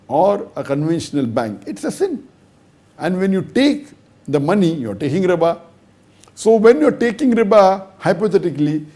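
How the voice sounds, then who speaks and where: Emphatic lecturing man, mellow tone. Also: on the high side. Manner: emphatic, methodical reasoning with rhetorical questions. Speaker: Islamic scholar answering audience questions. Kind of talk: lecture-style question and answer.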